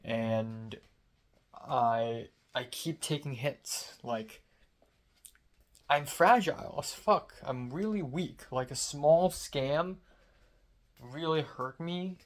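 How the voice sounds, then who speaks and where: A young man from Hong Kong with a sore, strained throat, talking into the camera late at night. He rants sarcastically in a mid-pitched voice, swearing often and mocking himself. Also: drawn-out words, long pauses. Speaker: vlogger. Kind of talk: late-night diary vlog.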